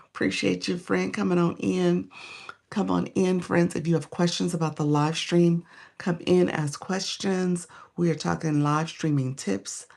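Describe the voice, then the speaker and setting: Hoarse, gravelly-voiced woman coach. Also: fairly low pitch, steady tone, unhurried, a little mellow. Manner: greets viewers by name between points. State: hoarse and tired. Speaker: live streaming coach. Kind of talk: casual live stream chat.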